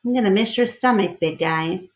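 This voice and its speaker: A woman's voice: medium pitch, normal speed, echoey room, lightly acted. A woman voicing a small, casual, wry male dog who is a loyal friend in a storybook. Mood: forced cheer.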